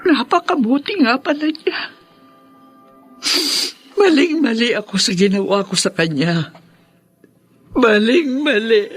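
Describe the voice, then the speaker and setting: Slow, sorrowful older Filipino mother. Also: fairly high pitch, expressive, breathy edge, faint music behind, heavily acted. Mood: remorseful. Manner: slow, heavy, tearful delivery. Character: sorrowful, remorseful ailing older mother longing for her children. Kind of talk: Filipino radio drama acting.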